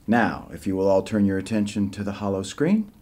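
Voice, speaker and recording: An actor playing a slick, polished civilian pitchman presenting a secret military program in an audio drama. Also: brisk, darkish tone, natural voice. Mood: smooth, assured. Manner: smooth, polished, salesmanlike.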